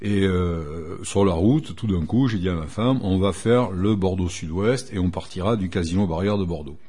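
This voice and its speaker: A man's voice: deep voice, moderate pace, dark tone, breathy edge. An older man, founder of a rally club, telling a long anecdote in a radio interview, rambling with many fillers and restarts.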